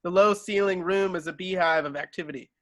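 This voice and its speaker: A man's voice: high voice, fairly quick, no room sound, studio quality. A man reading stage directions as narrator in an amateur table read, now and then stumbling and correcting himself.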